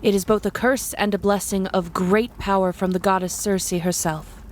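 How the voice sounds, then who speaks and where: A woman playing a solemn, commanding witch leader in an audio drama, formal and measured. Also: medium pitch, fairly even intonation, a little hiss or hum, close to an everyday voice. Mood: grave, authoritative. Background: music.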